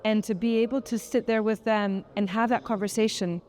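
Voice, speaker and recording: A woman's voice: medium pitch, fairly even intonation, brisk, darkish tone. A woman speaking as an artist in an interview, explaining their work in an articulate, thoughtful way. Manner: articulate, thoughtful, frequent "kind of" hedging. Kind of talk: reflective interview explanation of an art project.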